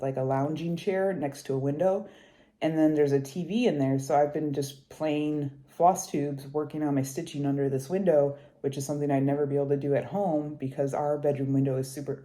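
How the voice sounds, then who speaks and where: Young woman, congested, low mellow voice, casual rambling vlog chat at a kitchen table. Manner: rambling, conversational, hushed while kids nap. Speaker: cross-stitch vlogger. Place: kitchen table of a rental house.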